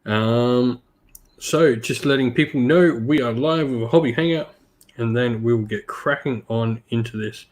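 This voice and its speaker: A man hosting a casual hobby stream, a little croaky from being sick, laid-back with lots of ums. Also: medium pitch, a little slow. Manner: laid-back, many ums, greets chat viewers by name. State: slightly croaky from illness. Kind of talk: casual live-stream chat while painting miniatures.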